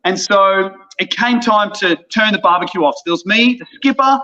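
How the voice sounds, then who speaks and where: A man's voice: sits high, moderate pace, lo-fi sound. A man in his thirties telling a story in casual chat, acting out the people in it. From Australia. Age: 30s. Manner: animated, acts out voices in his stories. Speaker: podcast guest.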